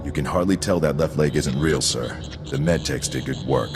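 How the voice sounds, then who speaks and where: A man's voice: very deep voice, normal speed. An actor playing a stoic, dutiful male veteran sergeant in a video game, speaking in a clipped, formal military way. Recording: reverberant hall, music behind.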